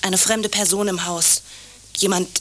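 A woman's voice: medium pitch, steady tone, unhurried, a bit bright, rough recording. A woman playing an anxious, clinging, lovestruck landlady in a naturalistic radio drama, insistent and repeating her short phrases. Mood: anxious, pleading.

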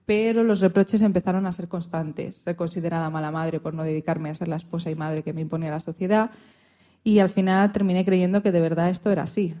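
A woman's voice: lowish voice, fairly even intonation, brisk, warm tone, close to an everyday voice. A woman playing a resilient, proud historical pianist and composer, telling her life story earnestly in a radio interview. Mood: regretful. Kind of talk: scripted mock radio interview.

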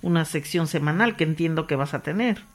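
A woman with a voice a little on the low side hosts a radio show. She puts questions to a guest in an informal interview style. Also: brisk.

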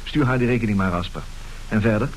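A man acting in a radio play as a scheming marriage swindler, brisk and commanding. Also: fairly low pitch, close to an everyday voice, rough recording. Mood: businesslike, cynical. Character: smooth scheming marriage swindler, businesslike rogue boss. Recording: hissy old radio recording.

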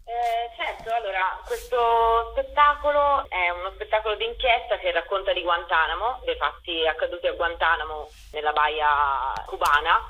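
A woman's voice: fairly low pitch, normal speed, hardly any pauses, bright, forceful tone, poor audio. A woman speaking as a theatre director in a radio interview, explaining her work over a phone-style line.

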